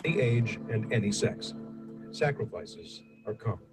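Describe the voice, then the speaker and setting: A man reading a list aloud in a measured way. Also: lowish voice, lively intonation, music in the background.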